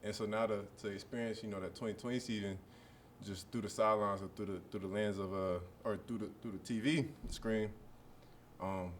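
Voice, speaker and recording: Young guy at a presser, low-ish voice, rambly and chill. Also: brisk, slight background noise. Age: early 20s. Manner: relaxed, rambling, heavy fillers. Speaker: college football player. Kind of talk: press conference answers.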